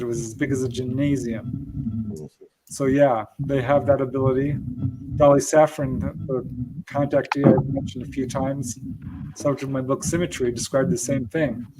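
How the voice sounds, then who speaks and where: A man's voice: mid-range voice, rough recording. Guy guest researcher chatting through stories in an interview, people murmuring in the background. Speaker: guest UFO researcher and author. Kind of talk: conversational interview answers with anecdotes.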